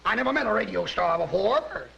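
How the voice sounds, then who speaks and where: An actor playing a folksy, starstruck police sergeant in a 1930s film. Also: male voice, high voice, expressive, fairly quick, fairly bright tone, lo-fi sound, slight background noise, heavily acted. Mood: eager. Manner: folksy, eager, gravelly.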